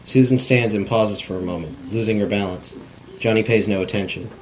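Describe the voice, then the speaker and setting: Casting: non-actor man as script narrator, lowish, plain, unrehearsed, slight background noise. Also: a little fast, poor audio. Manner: plain, stumbles over words. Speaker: reader of stage directions. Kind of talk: scripted narration, amateur cold read.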